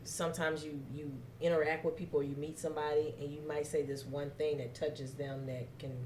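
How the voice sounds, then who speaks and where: Loud low-voiced woman host. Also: a little fast, roomy sound, slight background noise. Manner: loud voice that carries, lively storytelling, many fillers. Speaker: talk show host. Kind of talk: casual chat.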